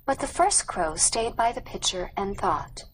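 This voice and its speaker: Slow, measured woman narrating, faint background noise. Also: medium pitch, very animated. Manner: measured, careful reading. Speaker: audiobook narrator. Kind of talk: scripted storybook narration.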